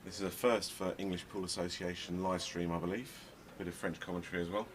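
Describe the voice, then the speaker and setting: A man with a very deep voice and a Belgian accent, calling a pool match for a live stream. He talks steadily with a dry, wry humour. There is a steady hiss of noise and some venue ambience under the voice. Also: fast. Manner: switches between English and French, wry. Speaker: pool commentator. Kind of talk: live sports commentary. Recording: noisy live stream commentary.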